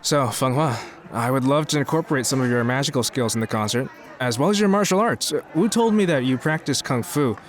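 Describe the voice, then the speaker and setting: A man playing a boastful, self-important showbiz mogul in an audio drama, smooth-talking as he courts. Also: mid-range voice, animated, a little fast, few pauses, natural voice. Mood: bemused, courteous.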